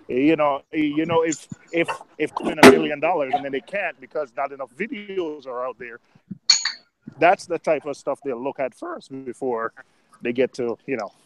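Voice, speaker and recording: A man's voice: fairly high pitch, moderate pace. Man chatting over clicking noise. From New York, USA. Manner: rambling, many fillers. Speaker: live stream host. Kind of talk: casual group chat.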